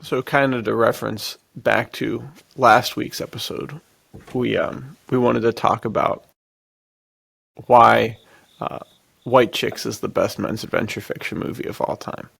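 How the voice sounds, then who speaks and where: A man's voice: medium pitch, fairly even intonation, a little slow, creaky, fairly bright tone, slightly rough, dry recording. A man co-hosting a podcast in casual chat, with a jokey manner. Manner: jokey, laughs through his talk. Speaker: podcast co-host.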